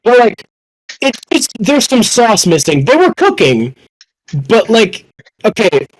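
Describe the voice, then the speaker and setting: A young man in casual podcast chat, talking excitably with plenty of slang and swearing. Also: high-pitched, measured pace, rough recording. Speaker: podcast panelist.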